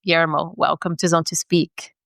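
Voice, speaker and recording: A woman in her forties hosting a podcast, introducing a guest in a measured, upbeat way and asking him questions. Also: sits low, level tone, creaky, fairly bright tone, no echo, clean studio sound. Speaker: podcast host. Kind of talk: read sponsor ads and scripted intro, then interview.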